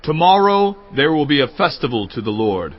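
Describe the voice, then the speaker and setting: An actor playing Aaron, a defensive male priest who makes excuses in an animated, pleading way. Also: on the high side, moderate pace. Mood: instructing. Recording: dull lo-fi audio with background music.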